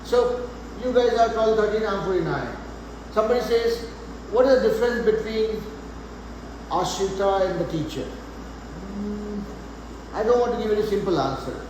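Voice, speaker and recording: Echoey, high-voiced man teaching math. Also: moderate pace, pauses often. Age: 40s. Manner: patient, repeats points, asks students questions. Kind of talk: live online class. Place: classroom. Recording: echoey room, faint background noise.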